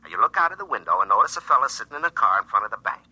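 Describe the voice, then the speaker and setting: A man's voice: medium pitch, big pitch swings, racing, bright, forceful tone, slightly rough, heavily acted, rough recording. An actor playing a man's bossy, knowing future self in a classic radio drama, brusque and smug, speaking through a telephone filter.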